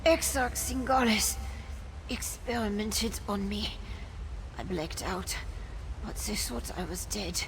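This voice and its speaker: Very slow, weak, wounded werewolf woman. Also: medium pitch, music in the background. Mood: pained, pleading. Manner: halting, slow, earnest. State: weak, struggling to speak. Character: wounded, weak female werewolf survivor, pleading. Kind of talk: video game character dialogue. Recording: noisy outdoor game ambience behind.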